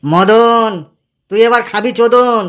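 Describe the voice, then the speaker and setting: A man's voice: very high-pitched, steady tone, slow pace. A man voicing a hot-tempered, foul-mouthed young village man in a comedy cartoon, brash and crude. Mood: irritated, ranting. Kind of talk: exaggerated comedy cartoon dialogue. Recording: clearly noisy recording.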